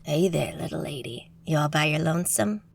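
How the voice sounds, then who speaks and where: A woman's voice: deep voice, brisk, gravelly, slightly rough, pitched below the performer's own voice, exaggerated voice. A woman doing a cocky swaggering magus.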